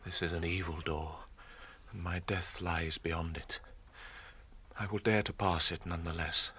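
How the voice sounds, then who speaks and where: An actor playing a grim, fatalistic warrior in a radio drama, speaking with solemn foreboding. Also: male voice, sits low, fairly even intonation, unhurried, sharp tone, a little hiss or hum, heavily acted. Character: grim, fatalistic warrior facing his doom. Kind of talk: classic radio drama acting.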